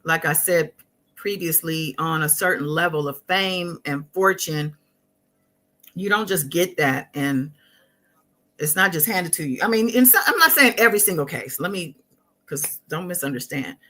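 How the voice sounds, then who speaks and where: A woman with a slightly low, notably bright and forward voice hosts a live commentary stream. She talks casually and conversationally, often stopping and restarting as she works out her thoughts while responding to her chat. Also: expressive. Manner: conversational, halting, thinking aloud.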